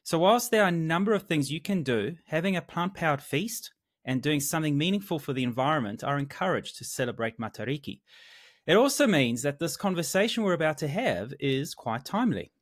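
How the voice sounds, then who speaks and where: A man's voice: a little high, big pitch swings, a little fast. A man speaking as a podcast host, introducing the episode and interviewing a guest.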